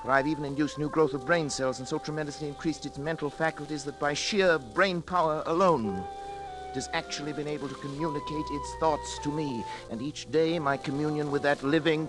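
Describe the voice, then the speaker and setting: An actor playing an obsessed, paranoid middle-aged scientist in a theatrical, urgent 1940s radio drama style. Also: male voice, on the high side, natural voice. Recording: vintage radio recording with music behind.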